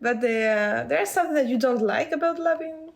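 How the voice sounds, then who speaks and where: Young woman co-host from Poland, raised in Spain, fairly high voice, engaged interviewer. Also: fairly quick, vocal fry. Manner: attentive listener, short affirming hums. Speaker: volunteer podcast co-host. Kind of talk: podcast interview.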